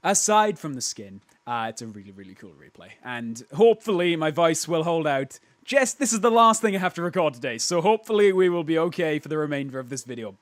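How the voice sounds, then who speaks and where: A young man with a hoarse, worn-out voice, pitched a touch higher than most men. He hosts a casual replay showcase, rambling, joking at himself and restarting sentences. The sound is dry and close, with no room around it. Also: no room sound. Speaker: host.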